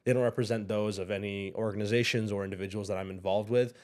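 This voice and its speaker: Low-voiced hesitant young man. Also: steady tone, quick pace, a little mellow. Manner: thoughtful, hesitant, many fillers and restarts. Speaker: guest. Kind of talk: casual interview.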